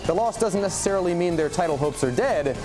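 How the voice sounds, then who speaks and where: A man anchoring TV sports highlights in a punchy, conversational style full of rhetorical questions. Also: animated, fast talker, music in the background.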